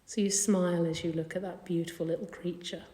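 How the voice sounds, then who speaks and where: A woman leading a guided meditation in a gentle, soothing, unhurried manner. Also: fairly low pitch, flat delivery. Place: indoor carpeted room. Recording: echoey room with long reverb.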